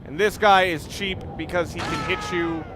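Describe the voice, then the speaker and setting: A young man with a fairly high voice talks through a video game in a loose, rambling way, often stopping to correct himself. Clear background noise sits under his voice along with the game audio. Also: fairly even intonation, moderate pace. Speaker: let's play commentator. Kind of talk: casual gameplay commentary.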